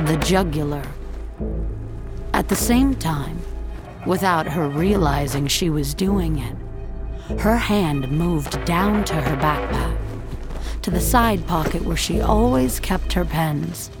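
A woman narrating scripted suspense fiction in a measured, dramatic storytelling manner. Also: on the low side, animated, music in the background.

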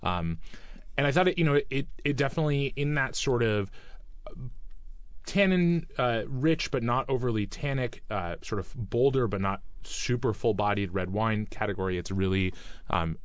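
A man's voice: medium pitch, no echo. A man who works as a wine educator, a radio guest who talks thoughtfully and hedges with fillers. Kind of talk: radio studio talk.

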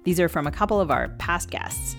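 Woman, low voice, podcast host, scripted delivery, faint music. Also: lively intonation, fairly quick, gravelly, a bit bright. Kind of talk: prepared questions and scripted podcast announcements.

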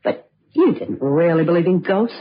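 An actress playing a bitter young heiress confessing to murder, with theatrical delivery in an old-time radio crime drama. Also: female voice, on the low side, very animated, moderate pace, slight background noise. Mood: rueful confessing. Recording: dull lo-fi old radio recording.

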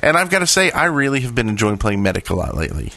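A man's voice: lowish voice, very animated, quick pace, creaky, sharp tone, no echo. A man co-hosting a gaming podcast in casual banter, with a joking, mock-serious manner. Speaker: podcast co-host.

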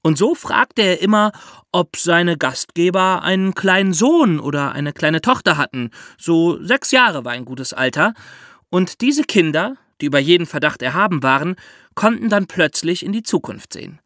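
A man's voice: on the high side, expressive, moderate pace, a bit bright, no echo, clean studio sound. A man co-hosting a history podcast, explaining the story with chatty asides. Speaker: podcast host.

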